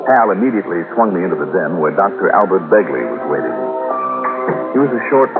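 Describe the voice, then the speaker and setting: Wry American radio sleuth: low, dry, conversational, on a tinny, noisy old broadcast. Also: male voice, very animated, fast, over loud music. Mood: dry narrating. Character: wry, self-possessed freelance male insurance investigator. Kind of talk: 1960s radio detective drama acting.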